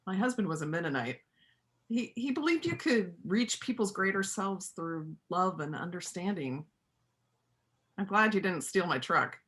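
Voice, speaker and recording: A woman performing a reader's theater part as a gentle but firm pacifist country vet, earnest and plain-spoken. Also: lowish voice, lively intonation, normal speed. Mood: reflective. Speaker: amateur church play performer.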